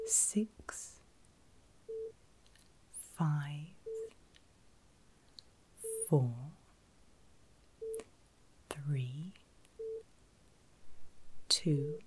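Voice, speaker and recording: A woman whispering in a breathy, soothing and reassuring way, as a caregiver in an ASMR medical roleplay. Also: low voice, a little hiss or hum, low-quality recording. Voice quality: breathy, mostly unvoiced whisper. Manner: soothing, reassuring, slow and deliberate. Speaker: ASMR performer playing a surgical nurse.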